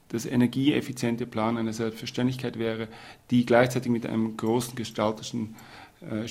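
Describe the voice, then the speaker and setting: A man speaks in a measured, explanatory way, taking his time as he lays out an argument. His voice has a gravelly roughness. He sounds like a historian being interviewed for radio. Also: medium pitch, darkish tone. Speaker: urban planning historian.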